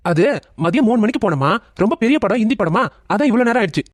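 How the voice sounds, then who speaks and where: A man's voice: sits high, expressive, fast, no room sound, studio quality. A man playing a grown son talking with his mother, acted in the manner of a drama serial. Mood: flustered.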